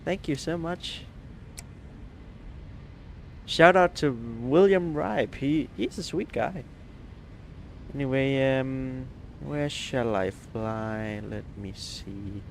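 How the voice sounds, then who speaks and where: A man's voice: mid-range voice, takes it slowly, vocal fry, pauses often, slight background noise. A man from Denmark, chatting hesitantly with many fillers while streaming a flight simulator. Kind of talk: live stream gameplay chat.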